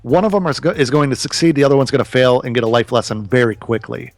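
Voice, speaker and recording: A man's voice: mid-range voice, fast, dry recording, soft background music. A man in his thirties, a podcast guest, talking earnestly and casually about business, swearing now and then. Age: 30s.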